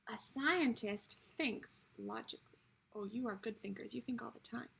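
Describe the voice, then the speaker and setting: A woman reads a picture book aloud as an early childhood teacher. Her voice is high and bright, with a husky, breathy edge. She addresses young listeners directly and explains each idea in simple terms. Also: moderate pace.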